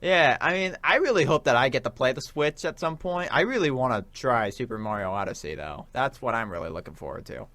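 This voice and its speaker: Young man, lively swinging delivery, dry sound. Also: medium pitch, a little fast, few pauses, no room sound. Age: young adult. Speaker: college radio show co-host. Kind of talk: casual chat about video games.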